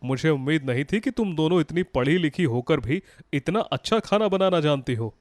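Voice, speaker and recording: A man's voice: on the high side, expressive, normal speed, dry recording, lightly acted. A man voicing an appreciative young husband in a moral storybook, polite and complimentary. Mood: pleased, impressed. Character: appreciative young husband praising his family. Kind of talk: dramatized Hindi moral storybook.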